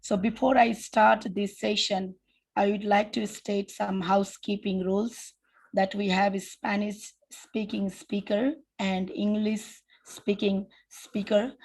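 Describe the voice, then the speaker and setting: A woman with a Nepali accent moderates an online conference session in English. Her voice carries a gravelly edge at a middle pitch, and she speaks in a formal, measured way, reading prepared remarks with the odd restart. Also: rough recording.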